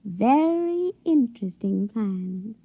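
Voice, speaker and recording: A guileless, stubborn young country girl from a 1950s radio play. She speaks high, in a folksy rural American drawl. The vintage recording is dry and dull. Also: big pitch swings, slow, breathy edge, dry recording, heavily acted. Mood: coy, contented. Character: naive young country woman with uncanny powers, lovestruck, stubborn. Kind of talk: 1950s radio drama acting.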